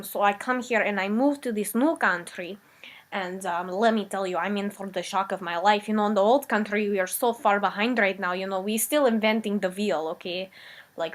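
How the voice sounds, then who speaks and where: A woman playing a rambling immigrant woman from the old country, talking in broken English with a meandering, deadpan comic manner. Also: medium pitch, close to an everyday voice. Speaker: comedic character. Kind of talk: improvised comic character monologue.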